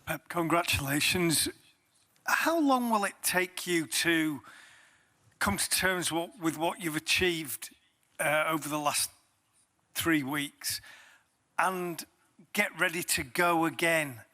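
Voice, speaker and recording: A man's voice: a little high, slow, pauses often, sharp tone. A man working as a sports journalist, putting a question at a press conference. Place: indoor press conference room.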